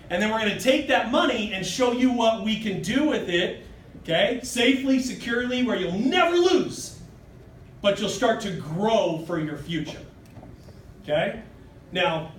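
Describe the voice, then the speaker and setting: Thirties male presenter with a high, punchy delivery, recorded at a distance in a hotel meeting room with faint hiss. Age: 30s. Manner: emphatic, keeps asking "okay?" and "true?", gestures broadly while standing. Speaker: sales presenter. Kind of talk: motivational sales pitch. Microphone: far phone camera in the audience. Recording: roomy, distant phone recording.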